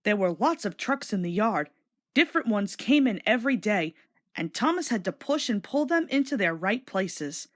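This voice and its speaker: A woman's voice: mid-range voice, very animated, normal speed. A woman narrating a children's storybook read-along from a script.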